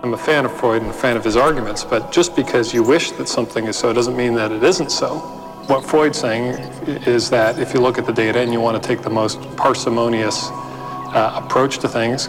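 A man's voice: medium pitch, rough recording. A man in a discussion, explaining an argument in an articulate, academic way.

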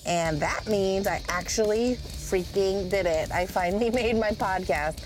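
A woman's voice: medium pitch, measured pace, rough recording. A woman hosting a podcast, opening the episode in an upbeat, chatty way over music. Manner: upbeat, chatty, self-described loud voice. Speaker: podcast host. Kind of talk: podcast intro.

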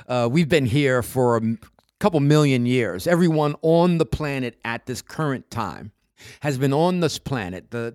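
A man's voice: mid-range voice, a little mellow, no echo, clean studio sound. A man giving an informal spiritual monologue to his listeners, speaking emphatically and repeating himself, with check-in tags and chuckles.

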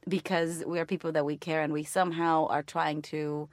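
A woman's voice: sits low, flat delivery, moderate pace, no room sound. A woman whose first language is Spanish, co-hosting a podcast in casual chat, searching for words and restarting phrases earnestly. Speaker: podcast co-host.

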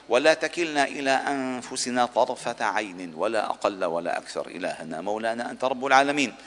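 A man's voice: deep voice, a bit bright, slight background noise. A man delivering a solemn sermon and supplication as a mosque preacher, with a formal, rhetorical cadence. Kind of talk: Arabic Friday sermon and supplication. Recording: long echoing reverb of a large hall.

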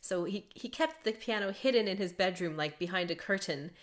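A woman's voice: medium pitch, a little fast. A woman hosting a podcast, a mezzo-soprano telling a composer's life in a chatty way, laughing often between facts. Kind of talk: conversational podcast storytelling.

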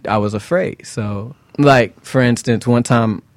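A man's voice: mid-range voice, unhurried, dry recording, clean studio sound. An American man chatting casually as an interview guest, earnest and reflective, often checking that he is understood. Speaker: guest singer and musician.

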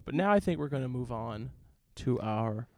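A man's voice: mid-range voice, expressive, moderate pace, no echo. Playful, casual man co-hosting, very dry sound. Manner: playful, teasing. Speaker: podcast co-host. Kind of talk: casual chat.

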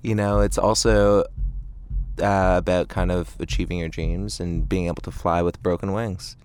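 A man in his late twenties chatting casually as an interview guest, thoughtful and halting in his phrasing. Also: sits low, level tone, a little mellow, slight background noise. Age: late 20s. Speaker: indie band frontman, interview guest.

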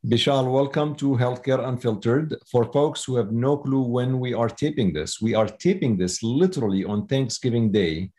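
A man's voice: mid-range voice, normal speed, hardly any pauses, warm tone. A man hosting a podcast interview, chatty and effusive, with loose rambling phrasing. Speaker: podcast host.

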